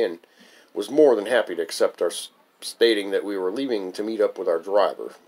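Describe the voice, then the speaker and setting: A man's voice: lowish voice, a little mellow. A man reading his own memoir aloud as a wry, amused storyteller. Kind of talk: memoir recitation, narrated reading.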